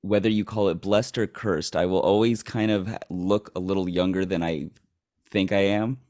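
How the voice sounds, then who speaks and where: A man's voice: low-pitched, fairly even intonation, moderate pace, darkish tone. A man hosting a casual interview, asking curious, probing questions and often rephrasing them mid-sentence. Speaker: host.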